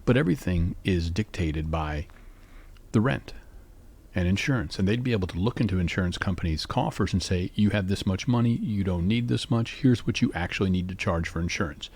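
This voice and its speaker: A man in his fifties from North Carolina, hosting a rambling solo monologue in a sleepy, calm and even voice. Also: fairly quick, slightly rough. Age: 50s. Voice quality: low, sleepy voice. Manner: calm, musing, even delivery. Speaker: podcast host.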